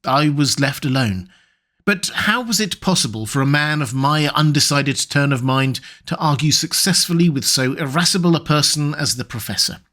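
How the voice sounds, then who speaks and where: A man hosting and narrating an audiobook of a classic novel in a calm, measured, welcoming way. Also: on the high side. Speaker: audiobook narrator and channel host.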